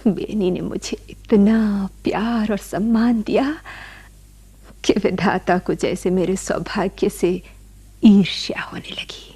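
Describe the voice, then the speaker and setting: A gentle, bashful young wife from a village, in a radio drama. Her voice is mellow and soft with a husky breathiness. She speaks with feeling, in a tender, emotive way. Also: medium pitch, slow, exaggerated voice. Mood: sorrowful, reflective. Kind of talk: Hindi radio drama acting.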